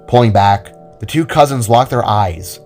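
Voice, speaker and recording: Low-voiced man narrating a story over music. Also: animated, moderate pace, a little mellow. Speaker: narrator of an audio drama. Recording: music under the voice.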